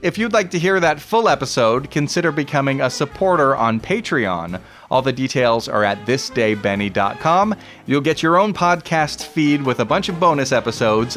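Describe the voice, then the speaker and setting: A man's voice: mid-range voice, a bit bright. A man hosting a podcast, reading a scripted introduction to vintage radio episodes. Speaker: podcast host.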